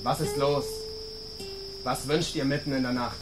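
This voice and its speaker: Actor as a timid, servile jail keeper. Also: male voice, mid-range voice, fairly even intonation, moderate pace, music in the background, close to an everyday voice. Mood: wary, annoyed. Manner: fearful, servile. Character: timid, pleading lowly jail keeper at night. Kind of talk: German radio play acting.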